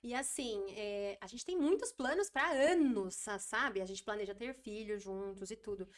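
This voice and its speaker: A woman in her twenties, a podcast guest chatting casually and telling stories with lively repeats. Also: medium pitch. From Brazil. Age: 20s. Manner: chatty, animated storytelling with quick repeats. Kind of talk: casual interview chat.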